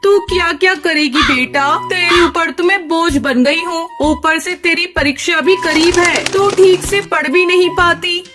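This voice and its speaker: A motherly cartoon crow, played by a woman, fretting and affectionate, in a very high voice. Music plays softly behind her. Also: unhurried, few pauses. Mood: worried, weary. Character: fretful, affectionate middle-aged mother crow. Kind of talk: children's cartoon voice acting.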